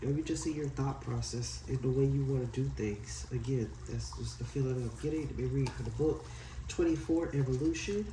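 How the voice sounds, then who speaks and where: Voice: mid-range voice, moderate pace, warm tone, recorded from a distance, a little hiss or hum. A tarot card reader with a stuffy nose from a head cold, chatting loosely and apologetically and reading aloud from a guidebook. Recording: echoey room, set back from the mic.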